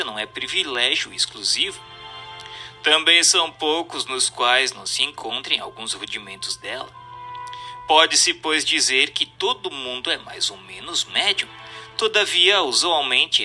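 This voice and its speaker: A man's voice: a little high, pushed and bright. A Brazilian man reading a book aloud as scripted narration, in a formal, didactic and emphatic manner.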